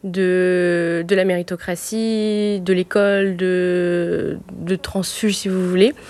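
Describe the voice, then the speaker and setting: A woman's voice: on the low side, flat delivery, slow, darkish tone, no echo. A young woman from France, a civil service student, answering interview questions earnestly with many hesitations.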